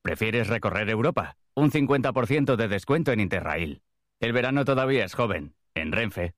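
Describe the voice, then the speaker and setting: Male advert voice-over, lowish pitch, dry close recording, scripted promotional read. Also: animated, moderate pace, no echo.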